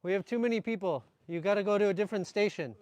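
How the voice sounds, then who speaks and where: A British man teaching a kung fu class, calling out energetic instructions to the group. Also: high-pitched, fast. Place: training ground at a mountain retreat.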